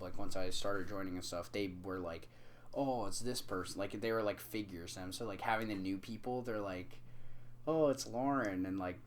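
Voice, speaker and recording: A young man co-hosting a casual podcast, talking haltingly with many fillers and restarts, teasing and fond. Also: on the low side, fairly bright tone, slight background noise. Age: young adult. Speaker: podcast co-host. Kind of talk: casual chat.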